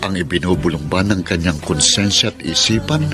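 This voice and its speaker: A man's voice: sits low, fairly quick. A Filipino man reading a letter as solemn scripted storytelling for a radio drama. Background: prominent music.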